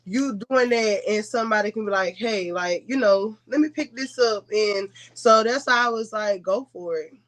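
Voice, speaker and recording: A woman's voice: medium pitch, moderate pace, few pauses, fairly bright tone. An American woman talking casually and conversationally as a podcast guest.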